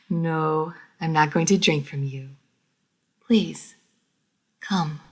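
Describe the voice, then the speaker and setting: A woman voicing a refined, proud lady vampire who is easily flustered, speaking formally and with measured care in an audio roleplay. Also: sits low, slightly rough, heavily acted. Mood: solemn, calm. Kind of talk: scripted audio roleplay addressed to the listener.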